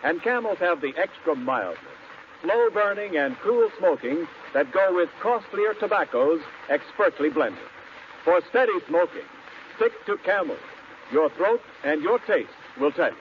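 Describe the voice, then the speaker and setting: A man's voice: high voice, big pitch swings, measured pace. An American man announcing in an emphatic, formal 1940s radio style, reading scripted copy. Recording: muffled, noisy 1940s radio recording.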